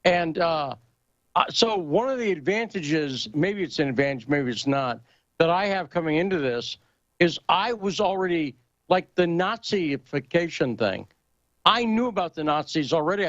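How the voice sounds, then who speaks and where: A man's voice: on the high side, no echo. A man hosting a call-in radio talk show, talking loosely and joking with callers. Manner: loose, rambling delivery with asides to callers. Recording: muffled, lo-fi radio broadcast audio.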